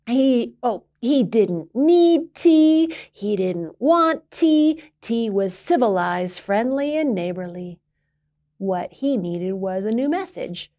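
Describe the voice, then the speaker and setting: A woman in storytime mode, reading a picture book to children. She takes her time, stressing key words and pausing for drama, in a medium-pitched voice. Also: a little mellow. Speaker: library storytime reader. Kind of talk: expressive children's picture-book read-aloud.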